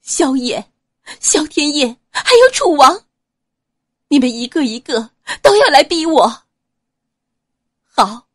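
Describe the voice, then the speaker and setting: A woman's voice: a bit bright, no echo, studio quality, exaggerated voice. A woman voicing a grief-stricken, furious young noblewoman who cries out accusations through sobs. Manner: shrill accusing cries, sobbing.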